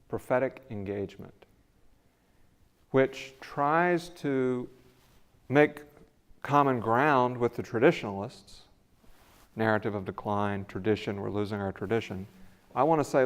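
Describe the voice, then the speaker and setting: An older man giving a conversational lecture in a church sanctuary, with a big voice and rising emphasis on key words. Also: medium pitch. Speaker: visiting scholar lecturer. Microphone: podium mic, then away from mic.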